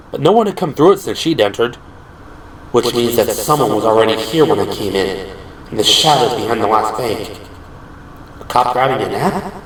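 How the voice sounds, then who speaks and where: A man's voice: medium pitch, a little hiss or hum, rough recording. A man narrating an audio drama from a script in a dramatic, storytelling style. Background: music.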